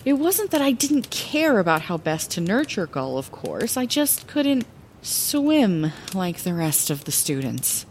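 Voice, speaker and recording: A woman playing an earnest young female bard, telling her own story in an expressive, lyrical fantasy audio drama narration. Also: mid-range voice, a little slow, a little hiss or hum. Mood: wistful.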